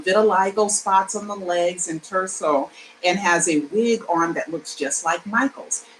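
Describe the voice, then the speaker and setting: A woman's voice: lowish voice, low-quality recording. Woman, screenplay reading, informal asides, self-correcting, faint background noise. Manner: informal, stops to explain and correct herself. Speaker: screenplay reader. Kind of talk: reading her own screenplay aloud with asides.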